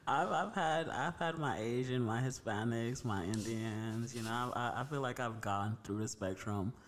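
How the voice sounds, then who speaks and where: A man's voice: mid-range voice, normal speed, a little hiss or hum. A man born in Haiti, talking candidly and laughing now and then in a casual podcast chat. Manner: candid, laughs through his answers.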